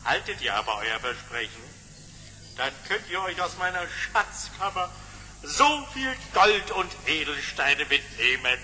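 An imperious sultan in a radio drama. His highish voice is bright and forceful, pronouncing slowly and pompously in a long echoing hall. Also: big pitch swings, slight background noise, heavily acted. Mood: menacing, amused. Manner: pompous, deliberate, commanding. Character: imperious, threatening yet jovial sultan ruler. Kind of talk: German audio-play acting.

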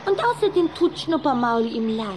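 A woman's voice: high voice, sing-song, moderate pace, noisy background. A woman playing a cheerful, mischievous little vampire girl in a German radio play, giggly and teasing. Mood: eager, gossipy.

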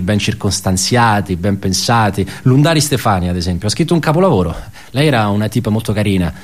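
An actor playing a wry, bitter male office worker in a theatrical radio monologue, halting and ironic as he tells his story. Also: on the low side, natural voice. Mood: ironic, reminiscing. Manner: halting, self-correcting, conversational, ironic.

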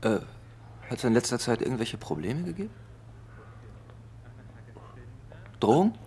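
An actor playing a courteous, probing German magazine reporter in a radio drama, speaking hesitantly and tactfully. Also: male voice, mid-range voice, very animated, a little fast, darkish tone, slight background noise. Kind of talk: naturalistic radio drama acting.

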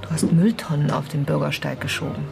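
An actress playing a guarded, ambitious young former lover in a radio drama, speaking naturally in a cool, defensive manner. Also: female voice, low voice, fairly even intonation, a little fast, gravelly. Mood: cool, needling. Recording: radio play with loud music underneath. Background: music.

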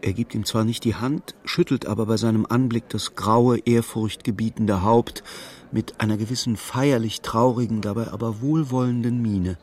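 An actor narrating a dark literary radio play as a solemn, measured storyteller. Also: male voice, fairly low pitch, fairly even intonation, lightly acted.

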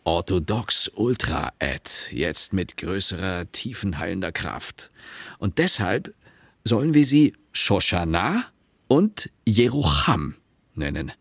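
A middle-aged husband tells his story in a low, lively voice. He is wry and sardonic, slightly husky, chatting straight to the listener in a radio play. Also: takes it slowly, dry recording, heavily acted. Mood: wry, reflective. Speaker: narrator and character. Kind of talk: first-person radio play narration.

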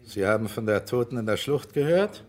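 An actor playing a stern, persistent investigator in a German radio crime drama, speaking in a deliberate, formal, insistent way. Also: male voice, medium pitch, expressive, darkish tone, natural voice. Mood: stern, pressing. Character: stern, persistent male investigator pressing a witness.

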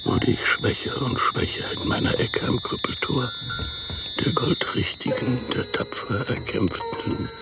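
Creaky, wildly swooping crippled beggar. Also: male voice, mid-range voice, huge pitch swings, measured pace, heavy background noise. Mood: plaintive, proud. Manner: declamatory, repetitive lament. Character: wretched crippled street beggar, proudly self-pitying. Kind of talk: radio play acting.

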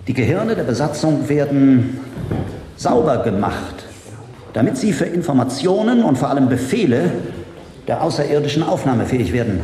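A man's voice: medium pitch, dark tone, close to an everyday voice. Man acting stern formal sci-fi radio official, big reverby hall, noisy recording. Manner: formal, deliberate, declamatory. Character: grave official warning of a threat to humanity. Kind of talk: German science fiction radio drama. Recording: echoey hall, long reverb, noisy background.

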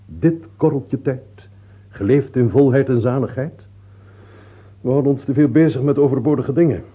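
An actor playing a rational, unsentimental royal physician in a radio play, clinical and deferential, with a husky voice. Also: male voice, medium pitch, normal speed, lightly acted. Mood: expansive, philosophizing. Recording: muffled narrow-band old radio recording.

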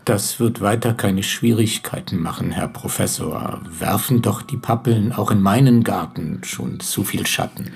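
An actor playing a deferential, obliging neighbour in a radio play, polite and formal. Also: male voice, lowish voice, unhurried, creaky, few pauses, breathy edge, exaggerated voice. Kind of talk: German radio play acting.

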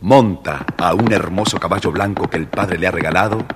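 Deep-voiced male fairy-tale narrator. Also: fairly quick. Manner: expressive storyteller. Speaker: storybook narrator. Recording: old record, long reverb, faint noise.

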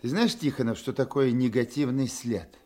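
An actor playing an authoritative older police colonel in a radio drama, explaining firmly and with a slightly wry edge. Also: male voice, medium pitch, normal speed, warm tone, close to an everyday voice. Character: authoritative veteran male police colonel, exacting boss. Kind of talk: Russian radio drama acting.

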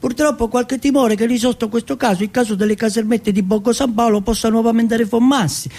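A pompous councillor, put on by a man declaims in a raised, husky voice. The delivery is formal, like an official statement in a stage monologue. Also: extremely high pitch, steady tone, brisk, a little mellow, voice pushed up. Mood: solemn, formal.

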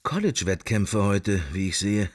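A man's voice: fairly low pitch, lively intonation, normal speed, no echo, clean studio sound, close to an everyday voice. An actor playing a wry middle-aged everyman musing to himself in clipped fragments in a radio play. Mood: idle, amused. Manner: clipped, wandering interior monologue. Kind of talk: intimate literary radio play monologue.

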